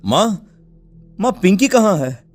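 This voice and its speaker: Anguished cartoon village dad , male actor: high male register, plaintive, melodramatic, soft music bed. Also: big pitch swings, slow, exaggerated voice. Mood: distraught, grieving. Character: distraught village father searching for his daughter.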